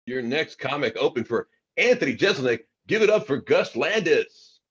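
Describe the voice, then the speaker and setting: A man's voice: on the high side, big pitch swings, moderate pace, fairly bright tone, husky, exaggerated voice. A man playing a corny open mic host in his fifties, in an amateur table read heard on dull call audio. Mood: announcing.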